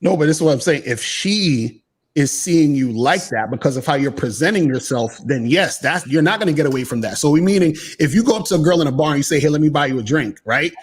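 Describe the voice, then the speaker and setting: Casual podcast co-host, man, pushy debater, higher voice. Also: lively intonation, fast, breathy edge. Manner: insistent, repeats "what I'm saying".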